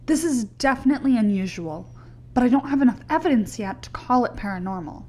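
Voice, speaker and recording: A young woman playing a skeptical science graduate student in an audio drama, measured, dry and polite. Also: fairly high pitch, expressive, warm tone. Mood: skeptical, matter-of-fact.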